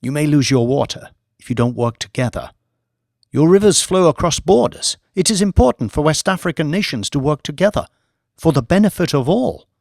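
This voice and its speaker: A man voicing a smooth, insistent political negotiator with an earnest, pressing manner in an audiobook. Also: a little high, darkish tone, no echo, studio quality, voice pushed up. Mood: reasoning, warning.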